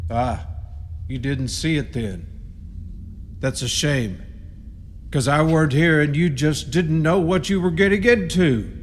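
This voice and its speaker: A man's voice: fairly high pitch, a little slow, pauses often, a little hiss or hum, close to an everyday voice. A man playing an ominous rural caretaker in a radio horror play, giving grim, cryptic warnings in folksy country grammar. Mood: grimly foreboding. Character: ominous rustic caretaker, grimly warning intruders. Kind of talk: radio horror drama acting. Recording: long reverb with music behind.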